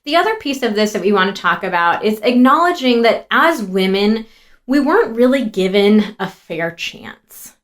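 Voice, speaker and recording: Woman co-host, 30s, earnest and compassionate, casual podcast talk, mid pitch. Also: animated, normal speed, fairly bright tone. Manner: earnest, compassionate, many fillers. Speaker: podcast co-host, sex therapist.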